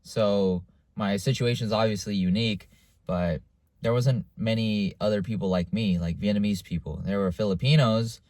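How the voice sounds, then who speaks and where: Casual young man, low and dry. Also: steady tone, moderate pace, a little mellow, no room sound. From United States. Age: young adult. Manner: casual and confessional, with frequent fillers and restarts. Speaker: vlogger. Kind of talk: candid personal monologue to camera.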